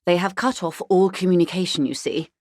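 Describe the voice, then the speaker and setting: Wealthy, weary corporate negotiator woman performer, video game role: fairly low female voice, eloquent and measured, very dry studio sound. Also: darkish tone, no room sound, natural voice. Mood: urgent, grave. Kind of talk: literary video game dialogue acting.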